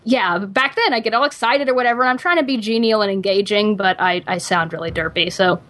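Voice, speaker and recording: A young woman co-hosting a casual podcast, hesitant with fillers, her pitch jumping up when she gets excited. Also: quick pace. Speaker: podcast co-host. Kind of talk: casual chat.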